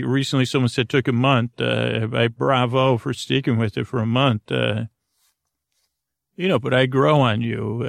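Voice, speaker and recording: Creaky guy doing a soothing bedtime ramble, halting and meandering, really dry close mic. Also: medium pitch, no echo. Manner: meandering, halting, goes off on whimsical tangents. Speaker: podcast host.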